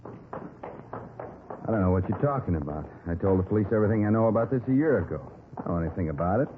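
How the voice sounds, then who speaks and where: Casting: man playing evasive, irritable suspect for old-time radio crime show, low brisk voice, narrow tinny audio. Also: lively intonation, close to an everyday voice. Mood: defensive. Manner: curt, sarcastic. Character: defensive, testy, evasive man questioned by police. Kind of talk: 1950s radio acting. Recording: thin, faintly noisy vintage radio recording.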